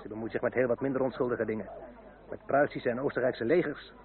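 Casting: man to play chatty gentleman confidant, cultivated, teasing, medium-pitched; vintage radio drama, phone-like band. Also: steady tone, quick pace, close to an everyday voice. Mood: gossipy, teasing. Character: talkative, politically indignant French gentleman confidant. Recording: tinny, band-limited old radio recording.

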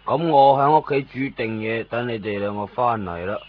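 An actor playing an easygoing, talkative friend who shows the way, speaking colloquially in a Cantonese radio drama. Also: male voice, lowish voice, normal speed, lightly acted. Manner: chatty, colloquial. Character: chatty, easygoing male friend acting as local guide. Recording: dull radio recording, faint noise.

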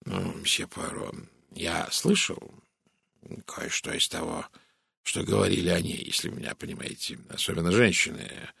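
A man voicing an amiable, cautious middle-aged American in an audiobook, speaking in a hushed, confiding, hesitant way. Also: deep voice, flat delivery, moderate pace, vocal fry, breathy edge, no echo, clean studio sound, exaggerated voice. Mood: obliging, hesitant. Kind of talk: audiobook character voice.

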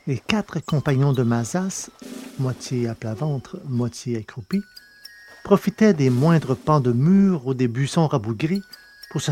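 A man narrating a science-fiction novel as an audiobook, in a steady, measured reading. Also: medium pitch.

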